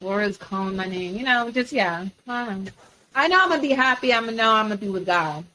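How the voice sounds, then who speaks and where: A woman's voice: mid-range voice, lively intonation, fairly quick, bright, forceful tone, a little hiss or hum, low-quality recording. A woman in her twenties, a track athlete and sports reporter, talking earnestly and with slang as a guest on a casual podcast. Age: 20s.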